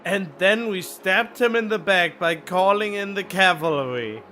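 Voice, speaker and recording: A man's voice: high-pitched, a little slow, sharp tone, a little hiss or hum. A man streaming a game, giving casual, blunt and sardonic commentary on the playthrough. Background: game music.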